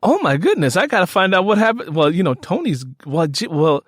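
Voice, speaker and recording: A man's voice: high-pitched, big pitch swings, a little fast, dry recording, studio quality. A man hosting a casual interview, speaking enthusiastically and repeating phrases for emphasis. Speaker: podcast host interviewing an author.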